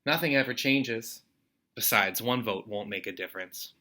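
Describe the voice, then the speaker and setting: A man's voice: lowish voice, moderate pace, sharp tone. An American man voicing reluctant, dismissive grown-up townsfolk in a picture book, with a grumbling manner. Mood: gloomy. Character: reluctant, dismissive grown-up townsfolk who doubt voting matters.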